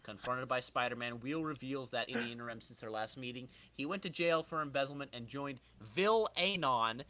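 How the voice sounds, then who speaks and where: Bright-voiced man explaining on a podcast. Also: medium pitch, moderate pace. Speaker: podcast host. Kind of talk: casual chat with read-out trivia.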